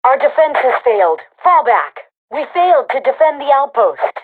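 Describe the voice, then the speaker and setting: An actress playing a brisk female rebel army commander in a video game, giving clipped, authoritative battle announcements through a radio filter. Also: high voice, sing-song. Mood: grave, urgent. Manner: clipped, authoritative military delivery. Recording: tinny, band-limited radio comms sound.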